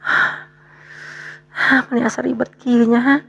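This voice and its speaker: An actress playing an anxious, complaining middle-aged wife in a radio drama, speaking in a fretful, questioning way on a dull recording. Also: female voice, fairly high pitch, little pitch movement, slow pace, vocal fry, fairly bright tone, husky, full character voice. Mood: worried. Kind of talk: radio storytelling drama dialogue.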